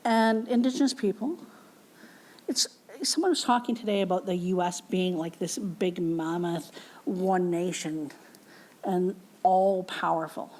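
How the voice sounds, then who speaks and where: A woman's voice: lowish voice, measured pace, darkish tone. A woman giving an academic keynote lecture and answering audience questions in a plainspoken, informal way with frequent fillers.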